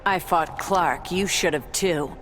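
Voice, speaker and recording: A woman's voice: on the low side, takes it slowly. An actress playing a confrontational, blunt and sarcastic female fighter in a video game. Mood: defiant, sarcastic. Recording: loud music behind the voice.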